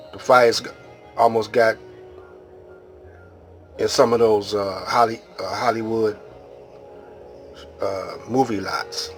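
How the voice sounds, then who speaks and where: Slow, low-voiced American man over music. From Detroit, Michigan, United States. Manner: halting, rambling street-talk delivery. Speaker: video host. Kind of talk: casual monologue to viewers.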